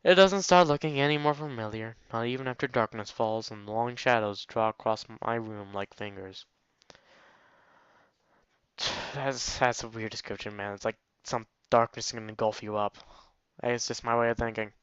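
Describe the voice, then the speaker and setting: A man's voice: mid-range voice, fairly even intonation, normal speed, a bit bright, smooth, no room sound, muffled recording. A man hosting a let's play, reading a visual novel aloud and breaking off for wry, mocking commentary. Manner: wry, mocking asides to the game.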